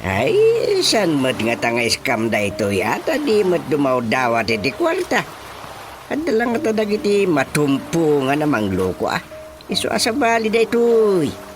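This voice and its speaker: An actor with a Filipino Ilocano accent playing an excitable, boastful village chairman and father in a radio comedy drama, exclaiming theatrically. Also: a little high, a little slow. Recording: music behind the voice.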